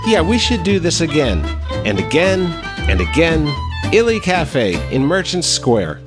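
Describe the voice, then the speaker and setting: A man reading scripted radio commercials in a smooth, polished way. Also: on the high side, wildly swooping pitch, unhurried. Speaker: commercial announcer. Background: music bed.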